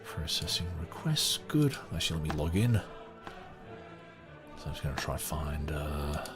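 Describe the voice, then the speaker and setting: A man hosting a podcast, giving casual off-the-cuff commentary in a halting way, often muttering asides to himself. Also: very deep voice, big pitch swings, music in the background. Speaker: podcast host.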